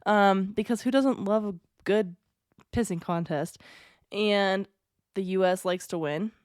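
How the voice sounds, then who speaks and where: A woman's voice: medium pitch, unhurried, darkish tone, dry recording, clean studio sound. A woman hosting a casual storytelling podcast, talking in a chatty, digressive way with wry asides. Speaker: podcast host.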